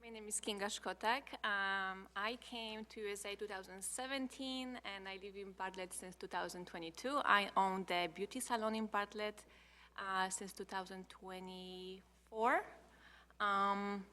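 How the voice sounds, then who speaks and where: Bright-voiced Polish-accented woman, reverberant room. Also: medium pitch, steady tone, measured pace. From Poland. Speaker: commission member, salon owner. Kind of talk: informal self-introduction at a meeting.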